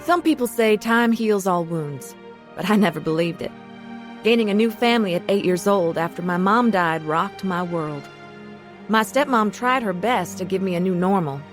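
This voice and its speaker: A woman's voice: medium pitch, moderate pace, soft background music, natural voice. An actress playing a reflective young woman who narrates her troubled youth in a confessional radio drama. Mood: wistful. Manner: earnest, confessional. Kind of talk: scripted first-person radio drama narration.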